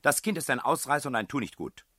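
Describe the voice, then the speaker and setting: A man's voice: medium pitch, brisk, sharp tone, dry recording, clean studio sound, voice pushed up. A man voicing a domineering, furious woman in charge of a children's home, barking clipped commands in an audiobook story. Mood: indignant, furious.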